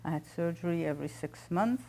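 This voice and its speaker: An older woman from French-speaking Switzerland, a native French speaker with a Swiss French accent mixed with Indian English, calmly telling her life story as an interview guest. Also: lowish voice, fairly quick, low-quality recording. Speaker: guest, naturopath.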